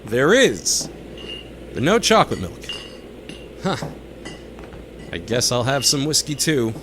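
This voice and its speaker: An actor playing a dim, sweet, hapless ex tagging along on a space mission in an audio drama, earnest and guileless. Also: male voice, mid-range voice, huge pitch swings, takes it slowly, a bit bright, full character voice. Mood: cheerful, naive. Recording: noisy background ambience.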